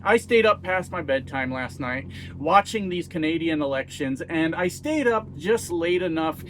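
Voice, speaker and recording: A man's voice: a little high, expressive, normal speed, fairly bright tone, a little hiss or hum, poor audio. A middle-aged American man giving blunt, casual political commentary, folksy and self-mocking, swearing freely. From United States. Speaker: YouTube commentator.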